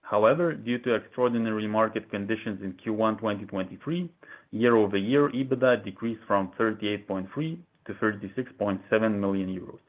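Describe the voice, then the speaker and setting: Tinny conference-call audio, man, lowish voice, formal corporate presenter, measured scripted delivery. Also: fairly even intonation. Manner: formal, measured, reading prepared remarks. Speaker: company executive presenting financial results.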